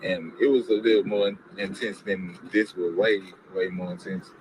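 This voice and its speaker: A man with a fairly low voice talks about his security work. He speaks haltingly, with fillers and restarts. Clear outdoor noise is heard behind him. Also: fairly even intonation. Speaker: security worker.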